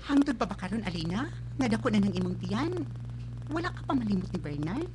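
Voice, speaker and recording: A woman's voice: medium pitch, big pitch swings, quick pace, natural voice. A woman playing a reproachful, scolding female character in a radio drama. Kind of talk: Philippine radio drama acting. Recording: noisy, humming broadcast audio.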